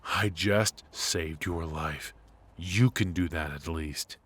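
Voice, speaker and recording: A man playing a cocky but affectionate secret agent in an intimate noir audio roleplay, with halting, teasing delivery. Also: sits low, fairly bright tone, slightly rough, full character voice. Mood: urgent, tender. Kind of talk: intimate ASMR roleplay voice acting.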